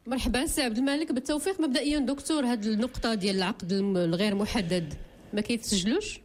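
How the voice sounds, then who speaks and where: A Moroccan woman hosting a radio call-in show, brisk and welcoming. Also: on the high side, a little hiss or hum. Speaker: radio host.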